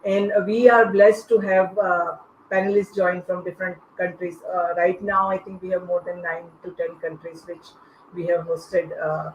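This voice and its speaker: A panel host with a medium-pitched voice moderates off the cuff, pausing and restarting phrases. The online call sounds roomy and dulled, with faint noise behind.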